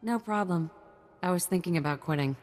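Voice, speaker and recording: A woman's voice: on the low side, brisk, darkish tone, big-room sound, natural voice. A woman playing an uneasy, frank young dancer in a video game, a little hesitant. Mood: frank, relieved.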